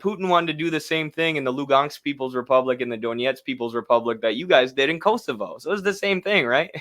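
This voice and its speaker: A man's voice: mid-range voice, a bit bright. A man giving casual, indignant and sarcastic political commentary as the host of a livestream. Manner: indignant, sarcastic, mocks others in put-on voices.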